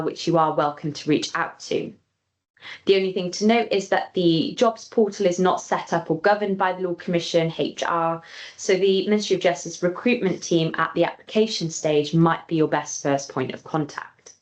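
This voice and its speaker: A woman presenting a recruitment webinar in a clear, measured, slightly scripted way. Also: fairly low pitch. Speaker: Law Commission staff presenter.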